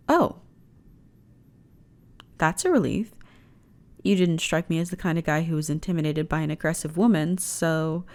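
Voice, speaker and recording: Woman acting a low-voiced, flirty alien officer. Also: close to an everyday voice. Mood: concerned, coaxing. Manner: teasing, chatty, conversational with sighs and soft laughs. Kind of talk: intimate ASMR roleplay acting.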